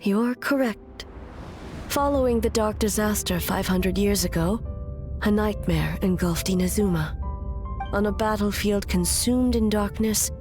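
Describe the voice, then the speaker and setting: A woman voicing a stoic, aloof immortal shogun in a video game, speaking in a formal, grave and measured way over music. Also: mid-range voice, natural voice. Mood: solemn, reflective. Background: background music.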